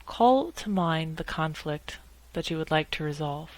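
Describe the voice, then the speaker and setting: A woman guiding a meditation in a measured, instructional way, speaking in short phrases with pauses between them. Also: low voice, animated, dry recording. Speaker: guided meditation leader. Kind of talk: guided meditation narration.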